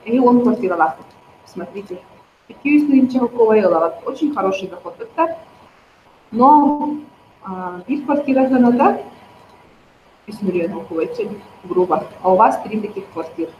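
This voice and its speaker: A woman, persuasively pitching network marketing straight to her viewers. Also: expressive, moderate pace, long pauses, slight background noise. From Yakutia. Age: 30s. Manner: persuasive, addresses viewers directly. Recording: roomy, slightly dull recording.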